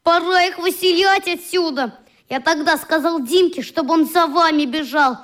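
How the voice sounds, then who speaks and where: A woman's voice: very high voice, moderate pace, fairly bright tone. A woman playing an earnest, indignant little boy in a radio play, reporting in an artless, aggrieved way.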